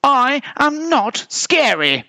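A man's voice: very high-pitched, sing-song, moderate pace, gravelly, a bit bright, voice pushed up, exaggerated voice. A man voicing a flustered, anxious female polar bear who frets in repeated exclamations in a storybook reading. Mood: indignant.

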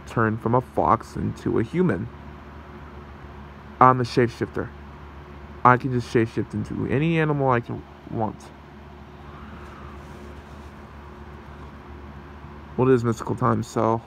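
A man playing a gentle, protective fox shapeshifter in human form in an ASMR roleplay, hesitant and soothing in his manner. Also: medium pitch, moderate pace, gravelly, long pauses, a little hiss or hum. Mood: reassuring, curious.

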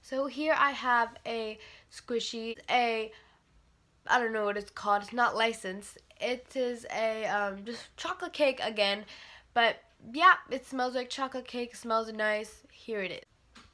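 Teen girl, congested cold voice, bright tone, chatty rambling vlog, bedroom, camera mic. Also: on the high side, measured pace. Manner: chatty, rambling, many fillers. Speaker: vlogger. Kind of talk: casual product review vlog. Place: bedroom, indoors.

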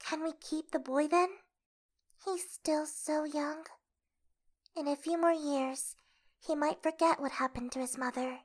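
A woman playing a composed, secretive young princess in a comic dub, speaking softly and formally. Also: sits high, steady tone. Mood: composed, guarded. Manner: soft, measured, formal. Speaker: voice actor in a fan comic dub. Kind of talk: dramatic comic dub acting.

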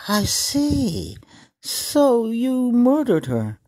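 A man plays a henpecked Irish husband in a radio comedy. His voice is mellow and very animated, with dry, sardonic grumbling. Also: very high voice, extremely slow, exaggerated voice, poor audio. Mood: incredulous. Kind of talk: comedy radio drama acting.

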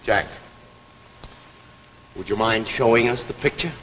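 A man's voice: mid-range voice, measured pace, a little hiss or hum, natural voice. A middle-aged man acting a stern, worried father in a 1950s television drama. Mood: probing. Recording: dull vintage TV soundtrack with music.

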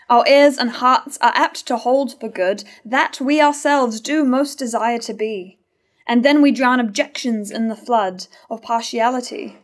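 Young woman as high-voiced moralising tragic chorus. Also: natural voice. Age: young adult. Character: moralising chorus of a classical tragedy. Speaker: actor in a staged reading. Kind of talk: formal verse recitation. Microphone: stand mic at lectern.

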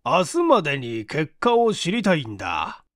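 Man playing an insistent, expressive company president. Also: a little high, no echo, clean studio sound, exaggerated voice. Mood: concerned. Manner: formal, pressing, deliberate.

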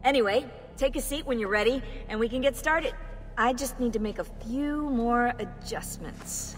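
A woman's voice: on the high side, moderate pace, in a large hall, soft background music, lightly acted. An actress playing a perky, boastful young female tech whiz in a video game. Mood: proud, eager. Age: young adult. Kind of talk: video game cutscene acting.

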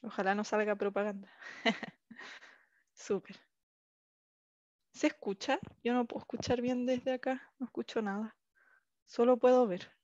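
Young Chilean woman, higher voice, presenting online; dry, slightly muffled call audio. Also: steady tone, a little slow, long pauses, a little mellow, no room sound. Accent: Chilean Spanish. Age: young adult. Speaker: English teacher presenting a language project. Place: small indoor room, video call. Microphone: headset.

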